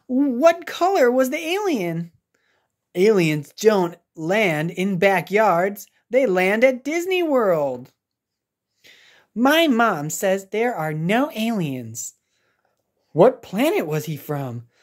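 Woman acting a curious animal schoolchild, raised pitch, American, skeptical, emphatic questioning, storybook character. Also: big pitch swings, slow.

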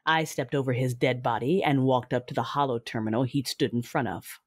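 A woman playing a cold, grieving, vengeful Sith lord, narrating an audio drama in a controlled, menacing, deliberate way. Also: a bass voice, steady tone, a little mellow, husky, no room sound, studio quality, voice pushed down. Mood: cold menace.

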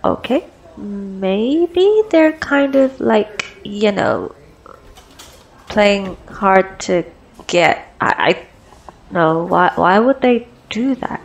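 A woman's voice: medium pitch, lively intonation, extremely slow, dull audio, exaggerated voice. A woman playing an anxious, socially awkward young woman with a crush in an ASMR roleplay, stammering and rambling nervously. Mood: nervous, confused. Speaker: audio roleplay performer. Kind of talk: ASMR girlfriend roleplay acting.

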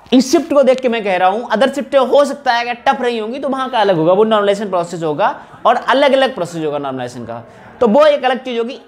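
A man gives an informal explanatory lecture as a coaching teacher, often repeating phrases for emphasis. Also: high voice. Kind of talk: informal exam analysis lecture to camera. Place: small indoor room, at a whiteboard. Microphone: wired lapel mic.

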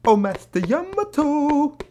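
A woman's voice: high voice, big pitch swings, takes it slowly, dark tone, slight background noise, exaggerated voice. A woman playing a brash, scheming turncoat musician villain with a sly, mocking manner in a fan-made manga drama.

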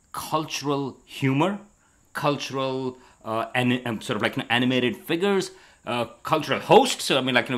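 A man's voice: mid-range voice, a bit bright. A middle-aged man in a casual science conversation, speaking as an educator and co-host into a handheld microphone indoors. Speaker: astronomy educator and show co-host. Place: bright room at a table indoors. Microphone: handheld interview microphone held out to him.

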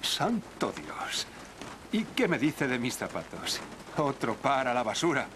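Dry-witted cartoon sleuth, animated delivery, hiss behind. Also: male voice, medium pitch, a little slow. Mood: wry. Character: dashing male detective, calm and wry. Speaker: detective lead.

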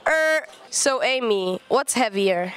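A woman's voice: a little high, lively intonation, slow pace, clean tone. A teenage girl reporter with a handheld mic, asking students a quiz question in an upbeat way. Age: teens.

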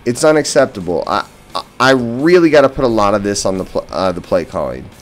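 Deep-voiced American man recapping football over music. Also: very animated, brisk. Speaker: sports podcast host and fan. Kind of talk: casual post-game football commentary. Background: background music.

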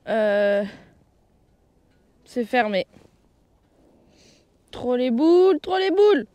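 A woman's voice: fairly high pitch, big pitch swings, very slow, pauses often, mellow, dry recording. A young woman in her twenties, a skier chatting casually to an action camera, nervous and giggly. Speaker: freestyle skier vlogging. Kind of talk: casual vlog chat. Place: outdoors, walking around a city and ski venue. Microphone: action camera held at arm's length.

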